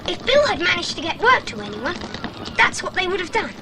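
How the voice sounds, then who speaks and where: A woman playing a bold, quick-thinking British boy adventurer, urgent and decisive, in a children's audio drama. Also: extremely high pitch, very animated, heavy background noise. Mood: scheming.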